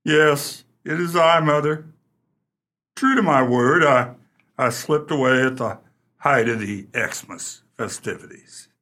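An actor playing an earnest, melodramatic grown son of a farm couple in a comic radio play, husky and forceful. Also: male voice, medium pitch, slow pace. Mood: proud.

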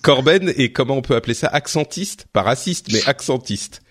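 Lively, teasing podcast co-host, a man. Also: mid-range voice, normal speed. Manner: teasing, playful. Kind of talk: casual chat.

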